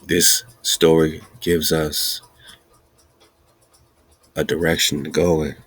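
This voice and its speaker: A podcast host speaking in a slow, emphatic, repetitive preaching style with a husky voice. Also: male voice, rumbling low, little pitch movement, a bit bright, no room sound, low-quality recording. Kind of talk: casual preaching-style monologue.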